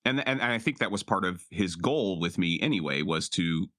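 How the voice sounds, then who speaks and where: A man playing a tabletop role-playing game, explaining his character in a halting, reflective, casual way. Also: low-pitched, animated, bright, forceful tone. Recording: music playing behind the voice. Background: music.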